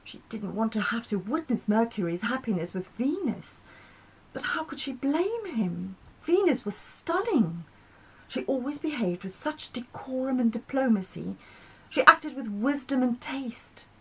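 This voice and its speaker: A woman reading a short story as its author, in expressive, scripted narration with dramatic, deliberate phrasing. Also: on the high side, slightly rough, poor audio.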